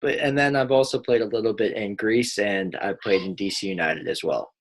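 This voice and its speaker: A man's voice: lowish voice, brisk. A man from the United States, a guest in a casual podcast interview, easygoing and talkative.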